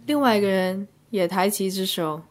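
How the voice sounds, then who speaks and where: A woman narrating a scripted first-person arts review in measured, descriptive short phrases. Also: medium pitch, a little hiss or hum. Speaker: podcast host and reviewer.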